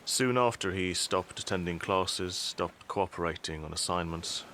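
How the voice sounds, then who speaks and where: A man acts out a composed, middle-aged statesman with a low voice. He speaks in a measured, formal way with dry, sardonic wit, as in an audio drama, over some background noise. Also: sharp tone, exaggerated voice. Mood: cool, wry. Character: composed, sardonic father and statesman, dryly unbothered.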